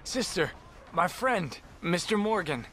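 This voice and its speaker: An actor playing a kindly, effusive friar who talks eagerly and fusses. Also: male voice, high voice, very animated, slow pace, sharp tone, a little hiss or hum, full character voice. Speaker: monk. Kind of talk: video game cutscene acting.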